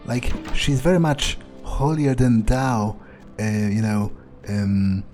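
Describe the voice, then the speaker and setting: A man voicing a cynical, bored male vampire in an improvised game playthrough, offhand, deadpan and sarcastic. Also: lowish voice, slow pace, soft background music. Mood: scornful, musing. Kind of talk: improvised in-character gaming playthrough.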